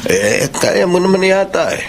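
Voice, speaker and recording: A kind middle-aged Filipino uncle teases with hugely animated intonation. The radio drama recording has clear noise behind his mid-pitched voice. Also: moderate pace. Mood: teasing generosity. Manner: teasing, animated. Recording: clearly noisy background.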